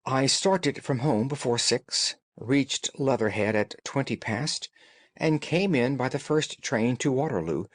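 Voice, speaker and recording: A man's voice: medium pitch, lively intonation, a little slow, dry recording. Man voicing a distraught, pleading genteel young woman. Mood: desperate, pleading. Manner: agitated, pleading, formal. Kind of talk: audiobook character dialogue.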